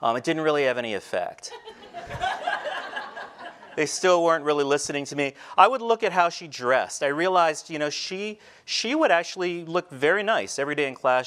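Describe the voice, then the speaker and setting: A middle-aged teacher telling personal stories in a talk on a large indoor stage, shifting between quiet reflective moments and light humor. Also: male voice, on the high side, lively intonation, moderate pace, smooth. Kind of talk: storytelling keynote talk. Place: large stage before a seated audience, indoors. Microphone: headset mic.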